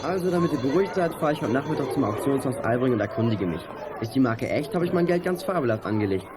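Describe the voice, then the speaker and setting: Man playing a fast-talking schoolboy know-it-all. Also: medium pitch, expressive, a crowd in the background, background noise, natural voice. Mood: self-assured. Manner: matter-of-fact, lecturing. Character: earnest, know-it-all bespectacled teenage schoolboy. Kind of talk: German youth audio drama acting.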